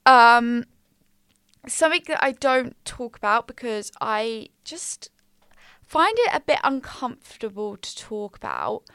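A woman of twenty, hosting a casual solo podcast in a small quiet room, candid and upbeat with slangy asides. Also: fairly high pitch, big pitch swings, measured pace, smooth, dry recording, clean studio sound. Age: 20s. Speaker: podcast host. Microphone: studio mic.

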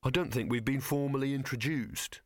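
A man's voice: mid-range voice, moderate pace, dry recording, clean studio sound, close to an everyday voice. A man voicing an awkward, apologetic young man with hesitant politeness in an audiobook. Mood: sheepish.

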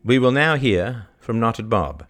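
A man's voice: mid-range voice, expressive, a little slow, a bit bright, pitched above the performer's own voice. A man voicing a stern, officious town bailiff who speaks curtly. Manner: curt, officious.

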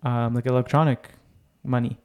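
A man's voice: medium pitch, steady tone, moderate pace, a little mellow. A young man from Israel, chatting casually as a podcast co-host, eager and fond of repeating words for emphasis. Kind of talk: casual chat.

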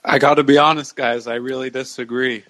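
A man with an average-pitched voice joins a sports podcast as a guest. He talks casually but lays out his points in measured, countdown-style phrasing. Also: steady tone, fairly bright tone.

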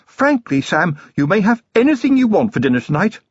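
A man's voice: high voice, big pitch swings, a little fast, slightly rough, dull audio, pitched above the performer's own voice, full character voice. A man voicing a genteel noblewoman with a refined, gushing upper-class lilt. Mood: fond. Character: genteel noblewoman, warm and affectionate.

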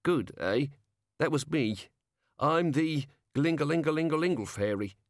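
Small fairy gnome for audiobook, high voice, nervous, hesitant, desperate to please; male reader. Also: expressive, measured pace, no room sound, clean studio sound. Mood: eager. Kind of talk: audiobook character voice.

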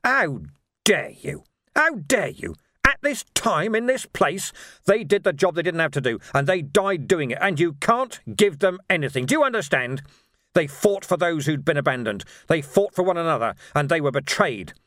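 A man voicing a gruff, righteous middle-aged policeman in an audiobook, speaking in emphatic, colloquial working-class phrases. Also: fairly high pitch, very animated, moderate pace, fairly bright tone, no echo, studio quality, voice pushed up. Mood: outraged. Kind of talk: dramatic audiobook character dialogue.

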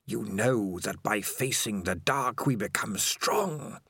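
A man voicing a sinister, hidden giant rat who speaks in a slow, hissing whisper. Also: fairly low pitch, fairly bright tone, husky, dry recording, clean studio sound, full character voice. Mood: menacing. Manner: slow, drawn-out, coaxing.

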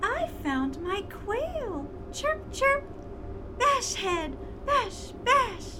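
Very high, slow, addled captive. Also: female voice, sing-song, noisy background, exaggerated voice. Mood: crazed, singsong. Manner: singsong repetition of words. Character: deranged, childlike, fluttery captive woman. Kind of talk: 1930s crime thriller audio drama.

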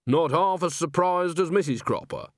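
Guy doing a jolly old witch granny for a storybook, cheeky n chatty, highish lively voice, dry recording. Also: normal speed, dry recording. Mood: homely, proud. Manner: breezy, chatty, homely. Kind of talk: audiobook character voice.

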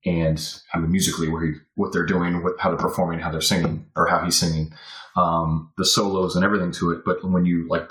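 A man's voice: low voice, fairly bright tone, echoey room, low-quality recording. A man talking as a podcast guest in casual, rambling talk with many fillers and restarts.

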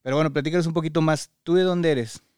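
A man's voice: fairly high pitch, fairly even intonation, quick pace, a little mellow, smooth, no room sound, clean studio sound. A man with a Mexican Spanish accent hosts a podcast, interviewing a guest in a conversational way.

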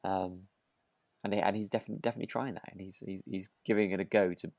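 A man's voice: low voice, fairly even intonation, quick pace, creaky, a little mellow, dry recording, studio quality. A man with a British accent chatting casually as a podcast co-host, hesitantly repeating words while thinking aloud. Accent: British English. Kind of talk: casual chat, discussing a radio drama.

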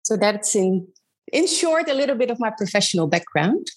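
A woman in her thirties from the Netherlands with a Dutch accent, speaking as an interview guest, often pausing and restarting her sentences. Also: medium pitch, very animated. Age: 30s. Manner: hesitant, many ums and restarts. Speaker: guest, business advisor. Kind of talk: casual interview conversation.

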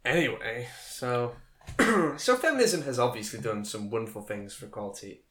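A man co-hosting a casual podcast chat, joking around with sudden jumps in pitch and trailing off into quiet asides. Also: expressive, moderate pace. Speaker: podcast co-host.

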